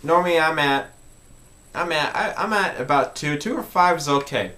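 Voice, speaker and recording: A man's voice: on the high side, expressive, moderate pace, sharp tone, slight background noise. A man hosting an informal music tutorial, explaining step by step in a conversational way and repeating key points.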